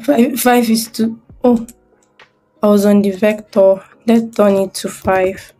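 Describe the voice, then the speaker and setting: Woman tutor walking thru her design work, unhurried, mid pitch, thinking out loud. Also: steady tone, faint music behind. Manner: thinking aloud step by step while working. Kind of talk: screen-recorded software tutorial.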